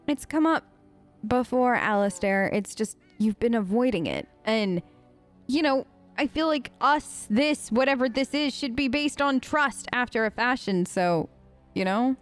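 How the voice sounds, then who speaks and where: A woman's voice: on the high side, expressive, unhurried, faint music behind. A woman let's-player improvising casual role-play replies in a chatty, rambling, playful way.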